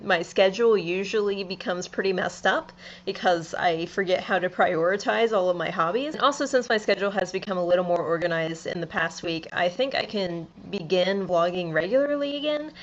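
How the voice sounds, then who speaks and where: A woman's voice: fairly low pitch, a little fast. A young woman about to turn twenty, musing in a casual, sleepy vlog monologue. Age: young adult. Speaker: vlogger. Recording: slightly dull home video audio.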